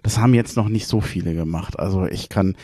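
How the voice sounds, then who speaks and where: A man hosting a podcast, friendly and eager, with plenty of hesitant fillers. His voice sits low and dark, recorded close in a dry space. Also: brisk, husky, dry recording. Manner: chummy, enthusiastic, hesitant with fillers. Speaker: podcast host. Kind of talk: casual podcast interview.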